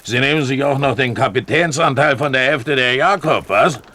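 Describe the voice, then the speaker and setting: An actor playing a coarse, drunken, suspicious pirate captain in a German radio play, gruff and sneering. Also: male voice, mid-range voice, moderate pace, bright, forceful tone. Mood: challenging. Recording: noisy old radio play recording.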